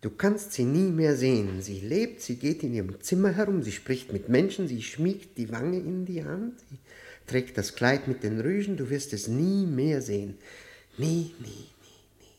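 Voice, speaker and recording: A man's voice: mid-range voice, big pitch swings, mellow. Tormented, guilt-ridden man confessing. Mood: despairing. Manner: halting, brooding, emphatic, repeats words. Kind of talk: theatrical German radio play monologue.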